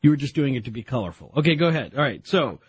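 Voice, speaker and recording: A man's voice: mid-range voice, big pitch swings, racing, breathy edge, dry recording. A man hosting a radio call-in show, guiding callers with frequent stammering restarts. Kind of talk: casual call-in chat.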